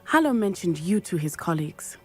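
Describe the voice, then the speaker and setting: A woman's voice: lowish voice, sing-song. An earnest, courageous schoolgirl in a video game. She speaks with careful, formal phrasing, like someone who takes danger seriously. A little music sits underneath. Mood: serious, concerned. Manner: measured, formal, careful wording. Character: earnest, brave teenage schoolgirl, cautious investigator. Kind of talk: video game cutscene acting.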